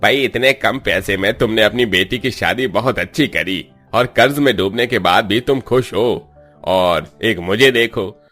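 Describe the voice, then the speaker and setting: A man's voice: fairly low pitch, measured pace, a bit bright, faint music behind. A man voicing an exasperated middle-aged father of a bride in a cartoon story, grumbling and resigned. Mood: weary, reflective.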